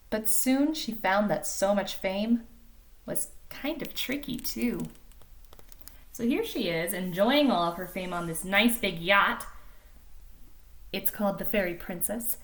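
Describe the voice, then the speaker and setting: A woman reading a picture book aloud to young children in an animated way, pausing to explain and ask questions like a teacher. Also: medium pitch. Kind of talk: expressive storybook read-aloud with chatty explanations to children.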